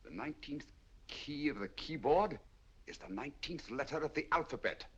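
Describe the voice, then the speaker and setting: A sharp detective with a husky, lively voice that rises and falls as he reasons things out. He speaks with crisp, commanding precision, heard on a dull old film soundtrack. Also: fairly high pitch, moderate pace. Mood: keen. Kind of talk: 1940s film acting.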